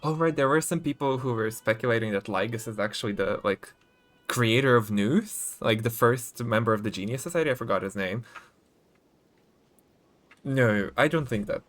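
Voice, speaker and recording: A man's voice: mid-range voice, moderate pace, fairly bright tone. A man giving casual live game commentary, informal and a little hesitant, with muttered asides. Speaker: game streamer. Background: game music.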